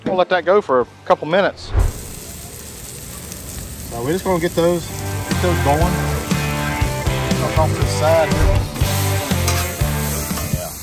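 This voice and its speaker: A man's voice: fairly high pitch, slow pace, long pauses, over music. A man, chatting casually while cooking outdoors on a beach for his cooking channel, on a clip-on lapel mic. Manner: folksy, chatty, says "y'all" often.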